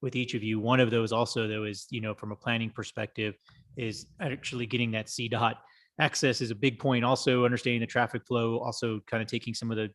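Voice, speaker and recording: A city staff official speaking in a hedging, bureaucratic way at a council meeting in a council chamber, full of fillers. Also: male voice, mid-range voice, flat delivery, fairly quick, fairly bright tone. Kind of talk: measured bureaucratic remarks.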